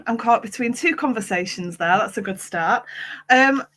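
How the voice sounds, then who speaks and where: A woman's voice: a little high, normal speed, a bit bright. A woman hosting a panel discussion, chatty and a little hesitant with frequent fillers. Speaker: panel host.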